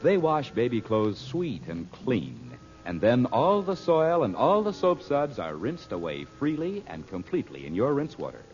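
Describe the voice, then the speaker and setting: A man's voice: mid-range voice, huge pitch swings, normal speed, few pauses, darkish tone. An American man announcing in old-time radio style, reading scripted copy with polished, emphatic salesmanship. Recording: muffled vintage radio recording with music. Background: music.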